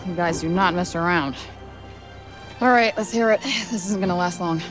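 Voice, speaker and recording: A woman's voice: fairly low pitch, slow pace. Wry, brash young woman. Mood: wry, guarded. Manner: wry, casual, blunt. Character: wary, sarcastic young woman hero, weary and brash. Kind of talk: video game cutscene acting. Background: music.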